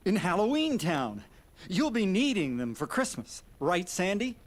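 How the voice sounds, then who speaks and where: High, theatrical skeleton showman. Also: a little slow, heavily acted. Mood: eager, earnest. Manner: dramatic, grandly expressive. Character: tall, eager, theatrical skeleton showman, good-natured schemer. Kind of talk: video game cartoon voice acting. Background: orchestral game music.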